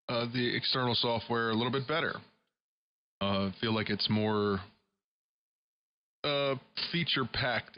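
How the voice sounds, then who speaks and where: A man with a fairly low voice talks casually as an amateur radio operator. His processed speech arrives over a single-sideband link. The sound is somewhat dull and limited in bandwidth. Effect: single-sideband radio transmission with processed audio. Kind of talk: casual ham radio chat. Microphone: studio broadcast microphone. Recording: dull, band-limited shortwave radio audio.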